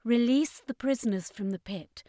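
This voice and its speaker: A woman's voice: fairly high pitch, moderate pace, mellow, no echo, studio quality, pitched above the performer's own voice, lightly acted. A woman voicing a firm, determined heroine who stands up to monsters in a fantasy story.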